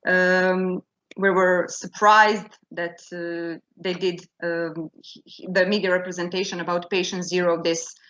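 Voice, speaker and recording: A woman giving an academic conference talk, in the language, speaking haltingly with many fillers and restarts. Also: fairly low pitch, flat delivery, fairly bright tone, muffled recording. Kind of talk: academic presentation. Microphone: video call.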